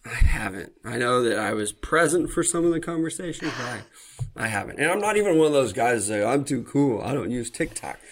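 A man's voice: mid-range voice, very animated, brisk. A man co-hosting a podcast, chatting casually about football and hedging with fillers. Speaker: podcast co-host. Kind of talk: casual sports chat.

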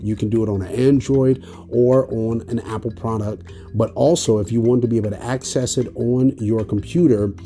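A male podcast host with a mellow, relaxed tone, speaking over music. He talks earnestly and emphatically, circling back on his phrases to drive them home. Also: on the low side. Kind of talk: podcast intro and conversation.

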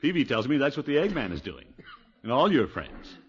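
An actor playing a genial, slightly pompous middle-aged uncle in a 1950s radio sitcom, talking in an avuncular, indulgent way. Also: male voice, mid-range voice, very animated, breathy edge. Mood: kindly, knowing. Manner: avuncular, drawn-out exclamations. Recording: dull, noisy vintage radio recording.

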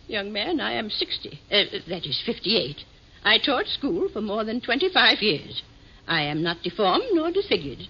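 An actress playing a chatty, vain, hard-of-hearing old schoolteacher with a fluttery, tittering, genteel manner in a 1950s radio drama. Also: female voice, medium pitch, big pitch swings, unhurried, slightly rough, full character voice. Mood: coyly indignant. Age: 60s. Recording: dull old radio recording.

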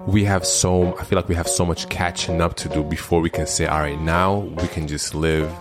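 A man's voice: deep voice, fairly even intonation, normal speed, over music. A young man co-hosting a podcast from his apartment, chatting in an easygoing, jokey way. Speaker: podcast co-host. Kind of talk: casual chat. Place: apartment, seated at a table.

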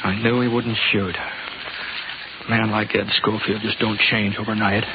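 A man's voice: fairly low pitch, flat delivery, measured pace, muffled recording, natural voice. An American man acting a laconic, folksy drifter gunslinger in a 1950s radio western, on a hissy old recording. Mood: tense, reflective. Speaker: lead actor and narrator.

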